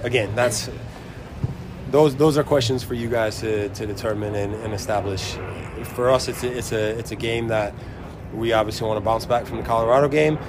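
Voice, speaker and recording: A man gives a guarded, halting answer to a reporter's question. He is recorded outdoors with clear street noise behind him. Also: medium pitch, fairly even intonation. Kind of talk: media interview. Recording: outdoor interview with traffic noise.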